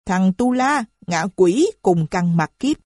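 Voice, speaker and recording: A woman voicing a shrill, boastful, foul-mouthed middle-aged mother who screeches her abuse. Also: lively intonation, slow, a little mellow, dry recording, studio quality. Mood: screaming.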